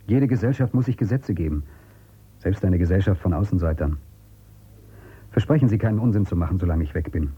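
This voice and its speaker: An actor playing a stern, principled outsider in a radio drama, speaking in a guarded way. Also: male voice, deep voice, animated, fairly quick, long pauses, warm tone, breathy edge, full character voice. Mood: firm. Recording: dull, lo-fi radio sound.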